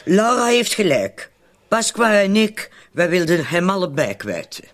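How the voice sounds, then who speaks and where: An actress playing a worried, defensive accomplice in a radio drama. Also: female voice, fairly low pitch, big pitch swings, measured pace. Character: worried, defensive woman accomplice needing reassurance.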